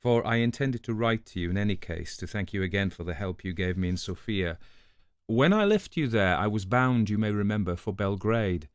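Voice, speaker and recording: A man giving a scripted literary narration in a measured, conversational storytelling manner, as if reading a letter aloud. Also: fairly low pitch, animated, clean tone, no room sound, studio quality. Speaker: audiobook narrator.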